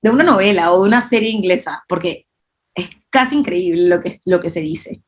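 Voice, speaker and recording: A woman's voice: fairly low pitch, fairly quick. Thoughtful Peruvian woman explaining. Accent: Peruvian Spanish. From Peru. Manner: thoughtful, explanatory, with frequent tag questions. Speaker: guest. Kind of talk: conversational discussion.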